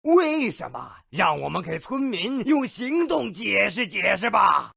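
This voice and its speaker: Game gnome narrator: cynical and bloodthirsty, forceful raspy edge, swooping theatrical delivery, very dry recording. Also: male voice, high-pitched, very animated, a little slow, dry recording, full character voice. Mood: sardonic storytelling. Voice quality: gravelly, raspy. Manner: theatrical, sarcastic, gleefully morbid. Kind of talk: theatrical fairy tale narration.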